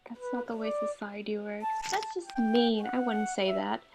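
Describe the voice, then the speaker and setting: A woman's voice: a little high, fairly even intonation, moderate pace, vocal fry. A woman doing a casual let's play of a visual novel, reacting informally and reading dialogue aloud over music. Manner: informal, reacts to the game between read lines. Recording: music behind the voice. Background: game music with flute.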